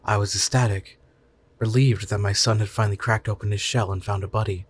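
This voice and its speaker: A man's voice: lowish voice, fairly even intonation, a little fast, a little hiss or hum. A man narrating a scripted short story in the first person.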